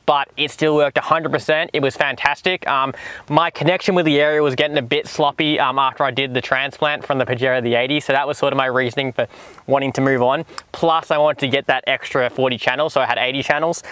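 A man's voice: mid-range voice, fairly even intonation, fast, a bit bright, clear, resonant tone, no echo. A man in his twenties with an Australian accent, talking casually and enthusiastically to camera in a vlog review. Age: 20s. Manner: enthusiastic, informal. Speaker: vlogger and presenter. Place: in a car and outdoors in the bush.

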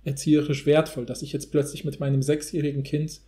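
Higher-pitched man with a mellow voice. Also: little pitch movement, brisk. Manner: rambling, academic phrasing. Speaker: podcast discussant. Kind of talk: casual analytical discussion.

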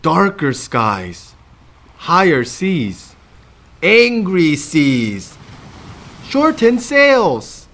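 Animated, slow-paced man reading to children. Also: a little high. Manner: animated, expressive, addressing children directly. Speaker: children's storytime host. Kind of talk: read-aloud storytelling for young children.

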